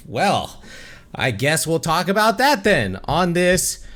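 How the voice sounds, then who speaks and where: Male podcast host, young adult, joking, laughing often, lively swooping pitch. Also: slow pace. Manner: joking, laughs often, goofy theatrical openings. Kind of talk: casual podcast banter.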